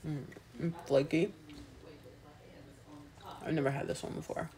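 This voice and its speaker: A woman in her thirties talks into a phone held at arm's length in a small room at home. She tastes and describes pastries in a chatty, easygoing way, pausing to chew between remarks. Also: deep voice, fairly even intonation, dark tone. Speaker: food vlogger. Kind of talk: casual food review vlog.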